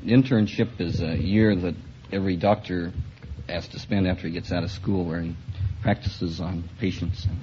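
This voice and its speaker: A man's voice: sits low, steady tone, dark tone. A young man giving hesitant, flustered interview answers as a contestant. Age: young adult. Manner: halting, flustered, terse. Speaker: show contestant, hospital intern. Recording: muffled noisy old radio, audience. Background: audience and noise.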